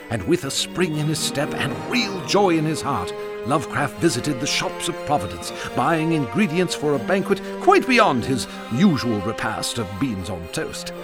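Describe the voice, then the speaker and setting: An actor narrating a whimsical holiday radio drama in a dramatic storybook style. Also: male voice, a little high. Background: music.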